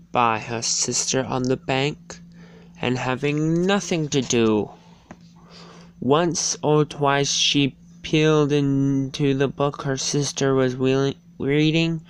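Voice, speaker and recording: Very slow, halting amateur storybook reader. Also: medium pitch. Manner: halting, stumbling over words. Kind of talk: dramatic storybook and poem reading. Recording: faint background noise and hum.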